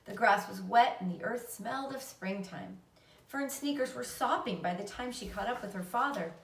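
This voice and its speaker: A woman's voice: mid-range voice, expressive, normal speed, a bit bright. A woman narrating a children's story aloud like a teacher reading to a class. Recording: roomy echo, distant mic.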